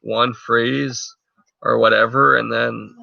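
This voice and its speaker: A man's voice: mid-range voice, little pitch movement, slow pace, gravelly, dull audio. A man whose first language is French, chatting casually and rambling with viewers during a live stream from a tent. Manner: rambling, many fillers and restarts. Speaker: channel host. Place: inside a tent.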